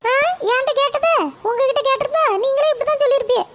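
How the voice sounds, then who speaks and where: A woman voicing a quarrelsome young mother, shrill and snapping. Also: steady tone, quick pace, slight background noise. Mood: angry.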